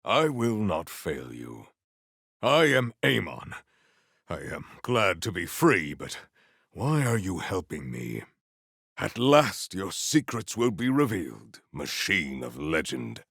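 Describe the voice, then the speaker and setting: Deep, stately, honorable game warrior. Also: male voice, big pitch swings, slow pace, creaky, a bit bright, dry recording, studio quality, full character voice. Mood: earnest, formal. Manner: formal, stately, theatrical diction. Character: proud honorable warrior, formal, righteous and battle-hungry. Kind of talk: video game character voice lines.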